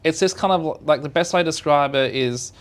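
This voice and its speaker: A man's voice: fairly high pitch, brisk. An Australian man from South Australia hosting a casual podcast interview. He rambles, using lots of fillers and restarting his sentences. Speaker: podcast host.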